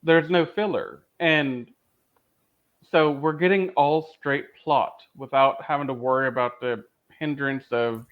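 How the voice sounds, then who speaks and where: A man co-hosting a podcast, chatting casually as he reviews a show as a fan. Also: fairly high pitch. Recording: slightly dull, lo-fi audio.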